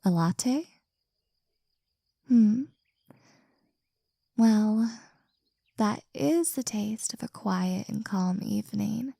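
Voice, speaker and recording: A woman speaks very slowly in a soft, teasing whisper, as if leaning close to the listener. Her voice is mellow and husky with a gravelly fry, and the recording is dry and close. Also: mid-range voice, dry recording. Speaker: audio roleplay performer. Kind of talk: intimate scripted audio roleplay.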